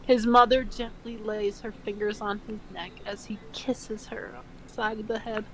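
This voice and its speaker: A woman's voice: mid-range voice, steady tone, moderate pace, slight background noise, rough recording. A woman reading fan fiction aloud in a mock dramatic style, breaking off into casual, incredulous commentary with some swearing. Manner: incredulous, mocking, swears freely.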